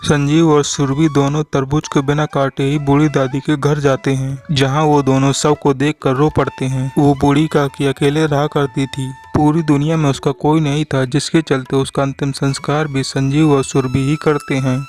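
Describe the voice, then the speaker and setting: A man's voice: mid-range voice, flat delivery, normal speed, hardly any pauses, darkish tone, soft background music. A man giving scripted storybook narration for a cartoon.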